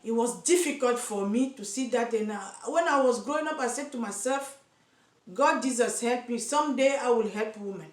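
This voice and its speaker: A woman's voice: a little high, normal speed. A woman from Cameroon with a Cameroonian accent, speaking straight to camera as an activist for single mothers, earnest and insistent, stumbling and repeating words as she searches for English. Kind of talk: direct-to-camera vlog. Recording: echoey room.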